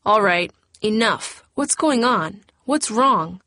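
A woman's voice: fairly high pitch, animated, moderate pace, dry recording, voice pushed up. A woman narrator voicing a blunt, slangy teenage girl who is a close friend. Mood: pushy. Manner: brash, slangy.

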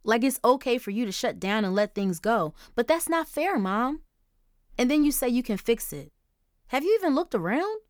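A woman's voice: a little high, very animated, normal speed, no echo, studio quality, voice pushed up. A woman voicing an upset, defensive fourteen-year-old girl who pleads and then lashes out angrily. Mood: angry. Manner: pleading, then angry and blurting.

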